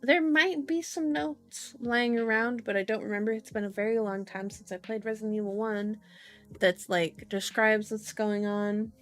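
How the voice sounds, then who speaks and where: A woman's voice: a little high, fairly even intonation, moderate pace, a bit bright, faint music behind. A woman hosting an ASMR book reading with casual, playful asides. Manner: playful asides, repeats words for fun. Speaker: ASMR host reading a novel aloud.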